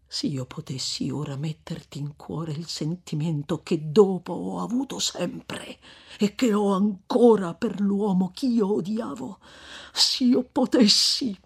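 Brief: woman voicing a fiery old friar in a storybook reading, slow and grave, husky edge. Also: medium pitch, full character voice. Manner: thundering rebukes, then hushed and slow. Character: stern old male friar, fiery and severe.

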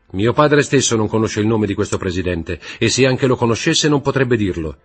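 A man's voice: lowish voice, breathy edge. A man voicing a tense middle-aged magistrate who urgently begs a younger man to stop.

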